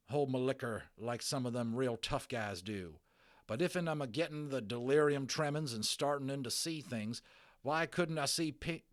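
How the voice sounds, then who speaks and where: A man voicing a cranky, self-pitying tiny cowboy with a Texas accent and a gruff, shouting drawl. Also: mid-range voice, dry recording, studio quality, pitched above the performer's own voice, close to an everyday voice. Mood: angry.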